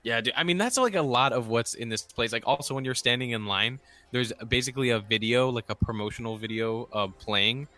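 Bright-voiced man in casual podcast chat. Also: mid-range voice, fairly quick, few pauses. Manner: informal, enthusiastic, self-correcting. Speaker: podcast guest or contributor.

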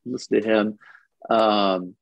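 A man from France talking casually as an interview guest, halting and thoughtful and often chuckling. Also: fairly low pitch, steady tone, creaky, highs cut off. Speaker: interview guest, singer-songwriter and actor.

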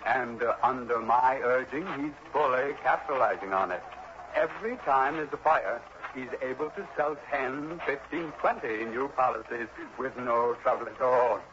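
An actor playing an earnest, fretful insurance executive in a 1960s radio drama, wordy and hesitant, on a muffled, noisy old recording. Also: male voice, mid-range voice, normal speed, lightly acted. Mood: fretful, explaining. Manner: hesitant, wordy, emphatic repetition.

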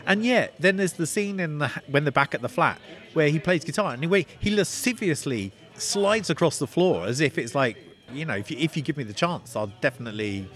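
A man's voice: on the high side, animated, brisk, a bit bright. A man with a cold and a nasal voice, a novelist guest talking enthusiastically and rambling about a film. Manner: enthusiastic, rambling, full of restarts. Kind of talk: casual film discussion.

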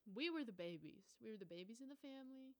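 A woman's voice: fairly high pitch, expressive, fast talker. Woman, mid-twenties, solo podcast host; loose, profane, rambling delivery. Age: 20s. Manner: casual, profane, rambling with fillers. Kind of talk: casual solo podcast rant.

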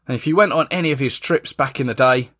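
A man's voice: medium pitch, fast, fairly bright tone. A man hosting a podcast, asking questions in a friendly, conversational way. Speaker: podcast host. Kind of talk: podcast interview.

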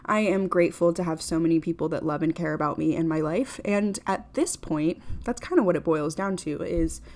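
Young woman around twenty, casual podcast monologue, upbeat and self-deprecating, a touch lower in pitch. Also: a little fast, darkish tone. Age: 20s. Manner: chatty, upbeat, self-deprecating with many fillers. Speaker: podcast host.

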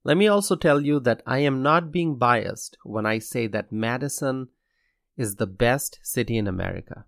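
A young man from Bangladesh hosting his own podcast, speaking in an earnest, measured scripted monologue. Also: mid-range voice, animated, gravelly, dry recording, clean studio sound. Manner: measured, earnest, slow deliberate delivery. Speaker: podcast host.

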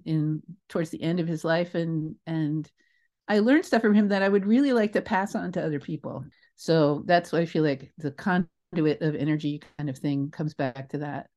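An older woman speaking as an interview guest, telling anecdotes in an expressive, emphatic, rambling way with asides. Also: fairly low pitch. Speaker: guest, theatre creator. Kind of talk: animated anecdotal storytelling in an interview.

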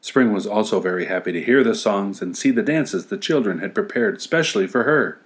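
A man's voice: fairly low pitch, lively intonation, fairly quick. A man reading a story as narration, with wry, playful asides. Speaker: story reader.

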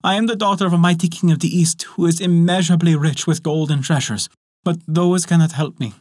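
A man doing a bewitched young princess from a storybook, begging for rescue in a sweet, high voice. She sounds close and dry, with a lifted, brighter tone. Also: fairly even intonation, brisk, no room sound, natural voice. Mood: pleading. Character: enchanted young princess, pleading and sorrowful.

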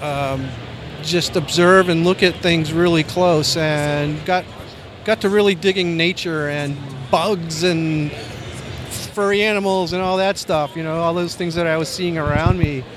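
An American man in his sixties from Maine with a soft voice, reminiscing casually and a bit ramblingly in an interview. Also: a little high, steady tone, unhurried. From Maine, United States. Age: 60s. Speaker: comic book artist, interview guest. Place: busy convention floor. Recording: faint convention floor noise.

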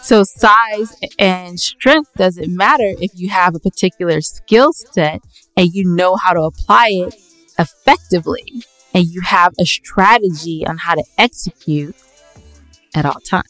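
A woman's voice: lowish voice, a little slow, a bit bright, dry recording, faint music behind, rough recording. A woman co-hosting a podcast, arguing her points firmly in casual conversation. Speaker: podcast co-host. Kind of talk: casual debate chat.

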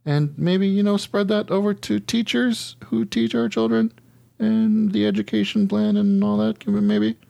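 A man's voice: sits high, steady tone, moderate pace, gravelly, dark tone, no echo, dull audio. An American man co-hosting a podcast in a casual comic rant, exasperated, sarcastic and profane. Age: adult, parent of children. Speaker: podcast co-host.

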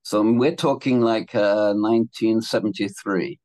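A man's voice: on the low side, fairly even intonation, measured pace, a little mellow, no echo. A man in his seventies from England, telling his life story as a guest in a casual interview, rambling with restarts and laughing between thoughts. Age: 70s.

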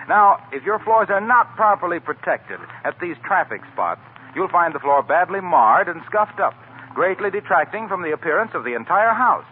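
A man's voice: high voice, sing-song, moderate pace. A man announcing in 1940s radio style, delivering sponsor messages with polished, emphatic salesmanship. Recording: hissy, narrow-band 1940s radio recording.

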